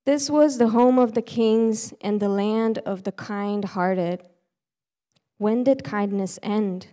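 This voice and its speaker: A woman giving a solemn, measured recitation of poetry to a hall as a student reader. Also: mid-range voice, darkish tone. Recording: reverberant hall.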